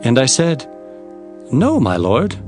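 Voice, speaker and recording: A man playing an earnest prophet who recounts his visions in formal, expressive storytelling for dramatized scripture. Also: medium pitch, takes it slowly. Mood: awed, questioning. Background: faint music.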